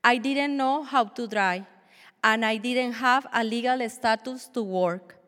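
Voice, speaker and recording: A woman in her forties from Colombia, a native Spanish speaker with a Colombian accent, giving an earnest, measured church testimony with small restarts. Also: a little high, a bit bright. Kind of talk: prepared personal testimony. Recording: long hall reverb.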